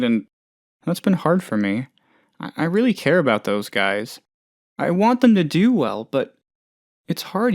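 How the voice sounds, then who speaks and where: A man voicing a friendly, insecure, gullible plant boss in a video game, chatty and hesitant in an earnest, folksy way. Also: a little high. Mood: troubled, earnest. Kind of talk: video game character voice lines.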